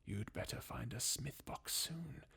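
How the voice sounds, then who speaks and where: A performer voicing a jaded, sardonic undead warrior from a fantasy video game, in a breathy, husky half-whisper with a weary, mocking manner. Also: mid-range voice, lively intonation, a little slow, sharp tone, exaggerated voice. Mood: sardonic, resigned. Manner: sardonic, weary, chuckling bleakly. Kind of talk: fan redub of video game dialogue, ASMR-like.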